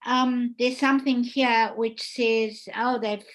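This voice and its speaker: A woman's voice: on the high side, fairly even intonation, slow, rough recording. A woman hosting an online panel discussion in a casual, effusive way, as a theatre producer and director would. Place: indoors, online video call.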